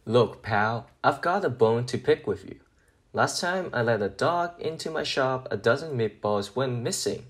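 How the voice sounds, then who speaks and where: A man voicing a gruff, indignant woman butcher in a children's picture book, blunt and scolding. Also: mid-range voice, animated, normal speed, close to an everyday voice. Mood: indignant, dismissive.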